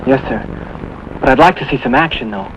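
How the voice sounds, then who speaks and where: An actor playing an eager, polite young American Navy recruit in a 1950s TV drama. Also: male voice, mid-range voice, animated, brisk, natural voice. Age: young adult. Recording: muffled, noisy 1950s TV soundtrack.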